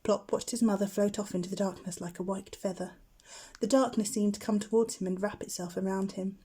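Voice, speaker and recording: A woman's voice: medium pitch, steady tone, fairly quick, dark tone, breathy edge. A woman narrating a children's bedtime story as a teacher would.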